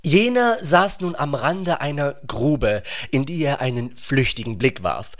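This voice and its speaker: A man's voice: medium pitch, lively intonation, unhurried. A man narrating a literary radio play in a dramatised storytelling style.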